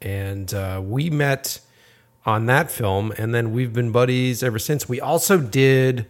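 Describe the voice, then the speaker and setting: A man's voice: mid-range voice, a little slow. A man hosting a casual interview, laid-back and loose, with lots of fillers and casual swearing. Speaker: podcast host and film director.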